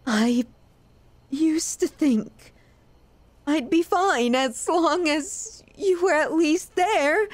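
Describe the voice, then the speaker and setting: Woman acting as a lovesick yandere, high voice, very slow pacing, possessive, unhinged, halting emotional ASMR roleplay monologue. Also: dry recording. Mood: wistful, aching. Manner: emotional, dramatic, halting delivery.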